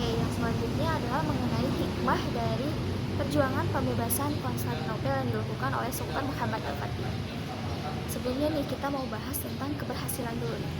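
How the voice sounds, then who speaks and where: A young woman speaks in a fairly high voice over loud background noise. She explains things informally, like a student presenter talking to peers. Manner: colloquial, explanatory. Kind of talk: informal educational talk. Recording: noisy recording with ambient vehicle-like rumble.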